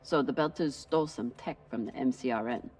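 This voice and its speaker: Dry terse spaceship crew type, lots of gravel in the voice, pragmatic, game acting. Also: male voice, medium pitch, brisk, darkish tone, soft background music.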